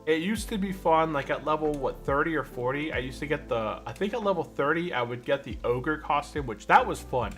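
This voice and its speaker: A man's voice: fairly high pitch, lively intonation, fairly quick, hardly any pauses, fairly bright tone, soft background music. A man chatting casually on a gaming livestream, rambling and thinking aloud as he plays. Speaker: livestream host, gamer.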